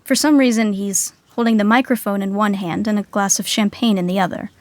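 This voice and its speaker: A woman's voice: medium pitch, fairly even intonation, brisk, no echo. A woman giving wry scripted narration for a comedy story.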